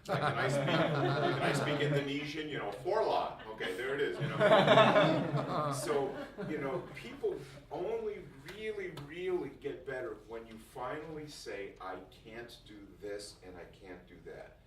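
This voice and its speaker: A man's voice: fairly high pitch, unhurried, crowd noise. A man giving an informal, jokey first-day talk to music students as their teacher, often repeating phrases for emphasis. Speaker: music school teacher addressing new students. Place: indoor classroom. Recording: roomy, distant mic, faint noise.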